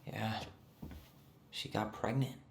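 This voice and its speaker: A low-voiced pensive young man in a game drama, with a gravelly edge. He is guarded and subdued, speaking naturally and hesitantly in a roomy space. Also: level tone, slow, slight background noise. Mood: somber. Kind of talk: naturalistic game cutscene dialogue.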